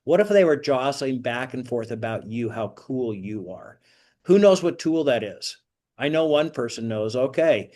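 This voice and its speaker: A man's voice: medium pitch, lively intonation, normal speed, warm tone. An older man leading an online group session informally, rambling a little and putting rhetorical questions to the group. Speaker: host of an online career coaching group meeting. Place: online video call.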